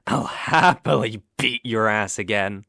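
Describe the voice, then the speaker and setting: A man's voice: fairly low pitch, measured pace, creaky, bright, forceful tone, dry recording, exaggerated voice. Man acting a cocky British college bully, close-up. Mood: menacing taunting. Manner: teasing, condescending, swaggering. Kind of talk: ASMR roleplay acting.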